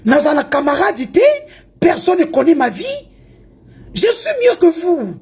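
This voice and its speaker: A woman from Congo speaks in a high, pointed voice. She is heated and confrontational, taunting her target as she mixes in a second language. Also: sing-song, a little slow, a little mellow. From Congo (DRC). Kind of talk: heated online rant.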